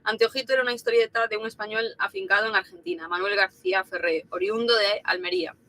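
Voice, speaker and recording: A woman's voice: medium pitch, expressive, quick pace, gravelly, sharp tone. A woman co-hosting a live stream, reading viewer comments aloud and answering briefly. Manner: reads viewer comments aloud, short replies. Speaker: co-host reading chat comments. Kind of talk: casual live-stream chat.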